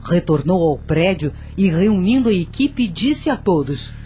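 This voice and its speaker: A woman's voice: lowish voice, sing-song, a little slow, dark tone, a little hiss or hum. A woman narrating a radio drama from a script.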